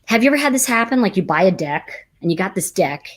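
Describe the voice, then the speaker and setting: A woman's voice: mid-range voice, very animated, fast. An American woman hosting a tarot talk, telling stories in a rambling, chatty way with many restarts. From United States. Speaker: tarot teacher and host. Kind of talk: casual storytelling livestream.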